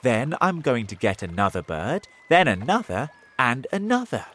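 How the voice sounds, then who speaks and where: A man voicing a thoughtful, resourceful young boy in a storybook, earnest and deliberate. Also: medium pitch, very animated, fairly bright tone, pitched above the performer's own voice. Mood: explaining.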